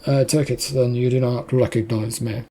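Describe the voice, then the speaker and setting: A narrator voicing an imposing, haughty, bored man in a suit for a story, with a husky voice. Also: medium pitch, steady tone, moderate pace, warm tone, slight background noise, natural voice. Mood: imperious.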